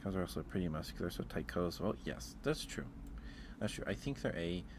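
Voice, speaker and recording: A man's voice: sits low, lively intonation, fairly quick, background noise. A man chatting casually with livestream viewers as host, musing aloud in an informal, hesitant way.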